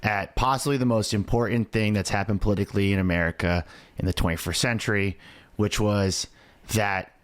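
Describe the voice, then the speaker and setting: A man's voice: on the low side, fairly even intonation, fairly quick. A man in his thirties hosting a podcast, explaining things in a conversational way. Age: 30s. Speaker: podcast host.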